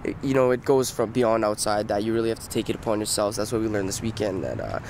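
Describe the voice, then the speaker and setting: A man's voice: fairly low pitch, fast talker, a little mellow. A young man with a Canadian accent, a rapper, chatting casually in an interview. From Ontario, Canada. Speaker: rapper in a hip hop group. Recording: very noisy outdoor street ambience, passing cars.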